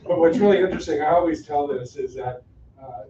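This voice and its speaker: A man's voice: on the high side, fast, a crowd in the background. A man hosting a small meeting, introducing people in a friendly, rambling way and repeating his words. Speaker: meeting host. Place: meeting room. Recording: distant, echoey, lo-fi.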